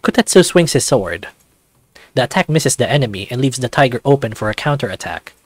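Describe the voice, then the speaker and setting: A man's voice: medium pitch, a little fast, no echo. Man narrating a visual novel. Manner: even, second-person storytelling.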